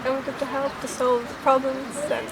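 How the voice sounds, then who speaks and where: Very high young woman, loud background. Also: level tone, fairly quick. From Uzbekistan. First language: Russian. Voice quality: throaty, guttural R. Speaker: friend in conversation. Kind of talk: casual chat. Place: outdoors in a city park. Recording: loud noisy outdoor recording, crowd sounds.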